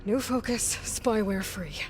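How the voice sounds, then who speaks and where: A woman's voice: mid-range voice, unhurried, background noise, close to an everyday voice. An actress playing a determined, defiant young female adventurer in a video game, with an American accent and terse delivery, often muttering to herself. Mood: focused. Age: young adult. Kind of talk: naturalistic video game voice acting. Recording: loud music behind. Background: loud game music.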